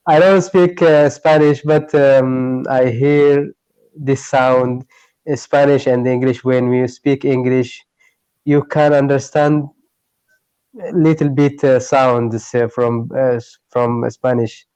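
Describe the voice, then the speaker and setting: Man, learner of English, slow unhurried delivery, halting and searching for words, dry close sound. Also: mid-range voice, fairly even intonation, dark tone, clean tone, no room sound, highs cut off. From Eritrea. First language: Tigray. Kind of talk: online tutoring conversation. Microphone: video call.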